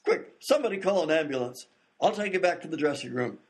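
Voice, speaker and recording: A man plays an old variety stage announcer in a radio comedy. His pitch is high and swoops widely, with a showy delivery that flusters easily. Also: lively intonation, quick pace. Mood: flustered. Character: excitable male 1920s variety show announcer.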